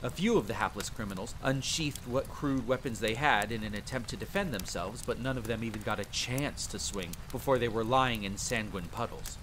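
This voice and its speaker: A man voicing a vampire hunter who theatrically recounts his dark boyhood by a campfire in an audio roleplay, with wry asides. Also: brisk, few pauses, slight background noise, lightly acted. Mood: grim, suspenseful. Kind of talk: dramatic first-person storytelling, audio roleplay. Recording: steady rain and rumbling storm ambience. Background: rain and rolling thunder.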